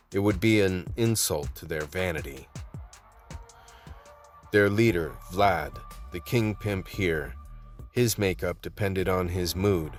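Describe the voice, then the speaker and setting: Hard-boiled cop narrator played by a man: low, weary, measured, radio play with faint music underneath. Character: world-weary male undercover vice cop telling his story.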